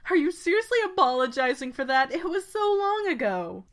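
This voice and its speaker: A woman playing a friendly young female pegasus knight in a game fan dub, with a gentle, familiar way of talking. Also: high-pitched, very animated, brisk. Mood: amused. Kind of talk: acted game dialogue.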